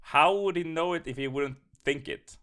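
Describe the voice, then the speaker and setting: A man's voice: on the high side, moderate pace, fairly bright tone, no echo, faint music behind. A man in a group reading, chatting casually and interjecting with incredulous, sweary comments. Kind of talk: casual joking banter.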